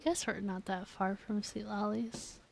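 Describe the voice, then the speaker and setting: A woman's voice: medium pitch, steady tone, unhurried, gravelly, a little hiss or hum. A woman giving casual live gameplay commentary, giggly and thinking aloud as she plays. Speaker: video game streamer. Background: game music.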